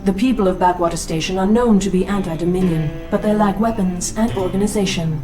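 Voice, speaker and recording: A woman voicing a calm, formal tactical computer adviser, giving a detached, matter-of-fact briefing. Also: medium pitch, brisk, distant mic, over music, close to an everyday voice.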